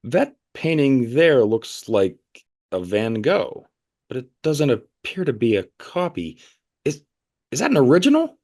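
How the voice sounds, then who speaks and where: A man's voice: mid-range voice, wildly swooping pitch, measured pace, dark tone, exaggerated voice. A man playing a polite, earnest, inquisitive interviewer in an audio play, hesitant and prone to stammering. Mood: intrigued.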